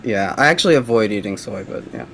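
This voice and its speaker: A male caller with a soft, feminine-sounding voice, talking hesitantly and rambling with lots of fillers. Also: on the low side, animated, fast talker, noisy background. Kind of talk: casual phone call.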